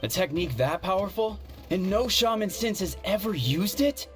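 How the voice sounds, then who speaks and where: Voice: medium pitch, expressive, moderate pace, close to an everyday voice. A voice actor playing a skeptical tribe member in a video game who dismisses the legend. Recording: game cutscene with faint music.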